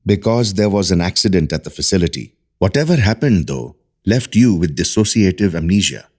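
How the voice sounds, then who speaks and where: Deep-voiced, grave male doctor. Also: very animated, brisk, a little mellow, husky, exaggerated voice. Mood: grave, explaining. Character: grave, secretive older male research doctor. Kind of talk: audio drama acting.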